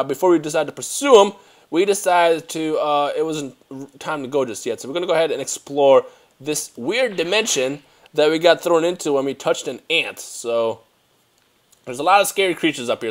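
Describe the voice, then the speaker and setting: A young man who grew up in Germany, chatting excitedly and rambling as he plays a video game, speaking into a headset mic at home. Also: mid-range voice. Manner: excitable, rambling, talks to himself while playing. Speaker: gaming youtuber. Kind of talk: casual let's play commentary.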